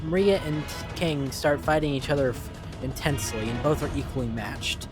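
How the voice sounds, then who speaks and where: A man's voice: medium pitch, moderate pace. A man reading scripted narration for a comic-book dramatic story. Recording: music behind the voice.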